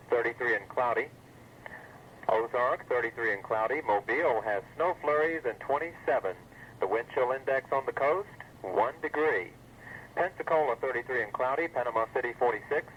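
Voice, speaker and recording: A man's voice: medium pitch, a little hiss or hum. Dull-sounding 1980s weather radio, male announcer. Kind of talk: scripted weather report reading. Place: broadcast over weather radio.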